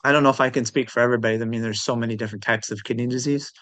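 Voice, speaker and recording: A man's voice: medium pitch, fairly even intonation, very fast, a bit bright, no room sound. A man speaking as an interview guest in casual, unscripted conversation, hesitant and thoughtful as he hedges his points. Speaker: interview guest, transplant recipient.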